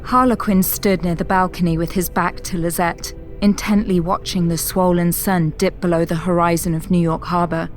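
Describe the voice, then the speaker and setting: A woman's voice: fairly low pitch, little pitch movement, normal speed, few pauses. A woman delivering scripted narration and credits for an audio drama. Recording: loud music under the voice. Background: music.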